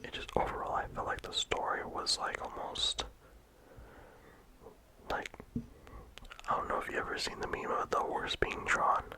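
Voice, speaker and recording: A game reviewer talking in a soft ASMR whisper throughout, casual and rambling with many "like" fillers. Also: moderate pace, long pauses.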